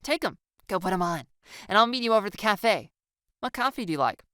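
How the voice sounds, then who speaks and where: Woman acting a bright, teasing young woman. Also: medium pitch, expressive, a little fast, clean tone, no room sound, clean studio sound, pitched above the performer's own voice, natural voice. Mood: cheeky, coaxing. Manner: bubbly, teasing, theatrical. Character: teasing, excitable, playful young woman, mock-dramatic. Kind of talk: audiobook character dialogue.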